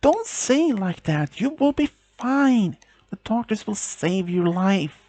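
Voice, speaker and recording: A distraught young woman pleads in a high, strained voice, as a man puts her on. Her words come slow and drawn out, and the sound is dry and close. This is a homemade storybook telling. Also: very animated, dark tone, no echo, exaggerated voice. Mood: pleading, upset.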